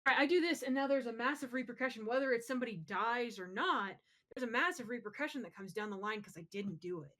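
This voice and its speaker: Woman, podcast co-host, fairly high voice, casual, opinionated, explanatory. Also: fast talker, hardly any pauses, fairly bright tone. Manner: opinionated, explanatory, occasional swearing. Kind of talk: casual discussion of film and games.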